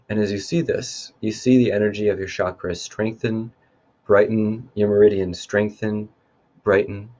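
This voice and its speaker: A man leading a guided meditation in a calm, unhurried, instructive way. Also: on the low side, muffled recording. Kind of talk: guided meditation, spoken softly to listeners.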